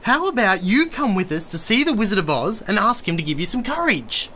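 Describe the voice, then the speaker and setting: Bright, perky living scarecrow. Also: female voice, medium pitch, very animated, fairly quick, slight background noise. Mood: eager. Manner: perky, guileless, blunt. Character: eager, naive, cheeky living scarecrow. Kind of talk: audio drama acting.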